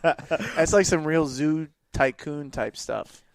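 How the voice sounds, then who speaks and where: A man's voice: a little high, takes it slowly, creaky, no room sound. A man co-hosting a podcast, chatting casually with quippy asides. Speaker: podcast co-host. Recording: faint music behind dry voice. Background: music.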